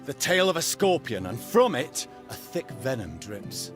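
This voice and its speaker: A chivalrous, zealous knight-errant from a fantasy game. His delivery is pompous and declamatory, swinging widely in pitch around a middle register. Music plays clearly underneath. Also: sing-song, unhurried. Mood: relishing, disgusted. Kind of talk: theatrical game dialogue in archaic phrasing.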